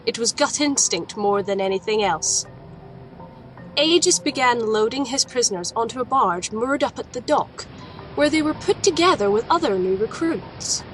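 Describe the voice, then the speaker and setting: A woman's voice: on the high side, expressive, moderate pace, faint music behind, close to an everyday voice, poor audio. A woman playing a watchful female alchemist in a dramatic fantasy audio drama. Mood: measured, reflective. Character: watchful female alchemist recounting her quest. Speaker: narrator and lead character. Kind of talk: dramatic first-person audio drama narration.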